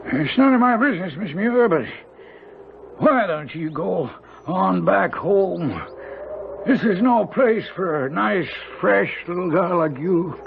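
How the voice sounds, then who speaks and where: Gloomy stable hand, slow creaky warning voice, radio drama, tinny hissy old recording. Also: male voice, sits high, expressive, heavily acted. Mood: concerned, foreboding. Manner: grave, cautioning. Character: gloomy, protective stable man. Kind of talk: 1970s radio drama acting.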